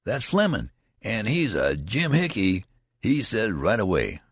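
A man's voice: on the low side, a little slow, husky, dry recording, clean studio sound, voice pushed up, heavily acted. A man voicing an eager young soldier in an audiobook, bursting with news in rustic, repetitive talk. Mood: eager, excited. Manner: excitable, rustic ungrammatical talk, emphatic repetition. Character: eager young male soldier breathlessly telling big news.

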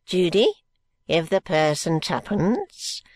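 A reader voicing a frail, wheezing old man in a storybook, slow and fawning. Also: mid-range voice, big pitch swings, a little mellow, no room sound. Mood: wheezy. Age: over 80. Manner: fawning, ingratiating.

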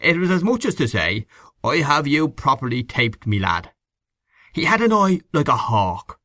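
A blunt, blustering Irish husband played by a man reading aloud tells his tales with lively swings of pitch. The story is recorded dry and close. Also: normal speed, no room sound. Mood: awed, vehement. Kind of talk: audiobook character acting.

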